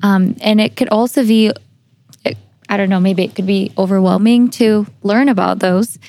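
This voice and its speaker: A woman's voice: mid-range voice, fairly even intonation, normal speed, dark tone. A young adult woman interviewing casually on a podcast, with tentative, informal and hesitant phrasing.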